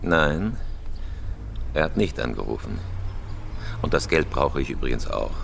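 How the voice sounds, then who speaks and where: An actor playing a cool, terse, commanding professional criminal in a German radio crime drama. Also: male voice, very deep voice, big pitch swings, a little slow, vocal fry, noisy background, exaggerated voice. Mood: terse, focused.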